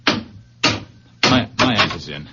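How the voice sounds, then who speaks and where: An actor playing an eager, excitable young nephew in a 1970s radio drama, insistent and effusive. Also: male voice, low voice, drawn-out words, exaggerated voice. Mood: cheerful, excited. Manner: insistent, effusive, repeats words. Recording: muffled, noisy old radio recording.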